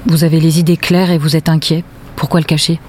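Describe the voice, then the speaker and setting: A woman's voice: sits low, level tone, brisk, darkish tone, natural voice. An actress playing an evasive, weary young mother in a naturalistic audio drama. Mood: calm, guarded. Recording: faint vehicle and ambience noise.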